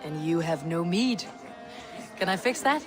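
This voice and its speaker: A woman's voice: fairly low pitch, expressive, measured pace, over music. An actress playing a loyal, steadfast Viking warrior woman, direct and wry, in video game dialogue. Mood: friendly. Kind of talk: dramatic game cutscene dialogue.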